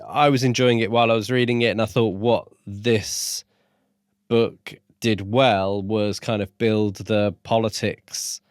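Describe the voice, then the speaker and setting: Man, fairly low voice, podcast co-host, casual discussion, dry sound. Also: no room sound.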